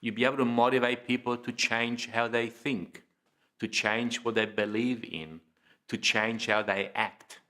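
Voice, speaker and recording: A man delivers an informative training lecture in a bright, forceful voice at a middling pitch. He speaks deliberately, breaking his sentences into short phrases and leaning on key words. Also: fairly even intonation. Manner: measured, deliberate, emphatic phrasing with pauses. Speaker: presenter of public speaking training video.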